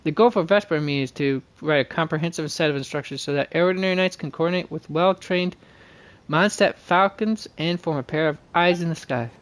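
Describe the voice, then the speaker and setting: A man's voice: fairly high pitch, fairly quick, no room sound. A man hosting a game livestream, reading dialogue aloud and commenting casually, often stumbling and correcting himself. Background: game music.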